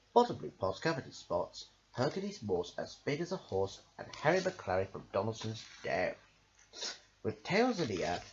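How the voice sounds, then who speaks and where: A man reading rhyming children's stories aloud in a rhythmic sing-song way, drawing out the ends of phrases. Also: medium pitch. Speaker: amateur storybook reader. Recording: dull lo-fi recording, faint noise.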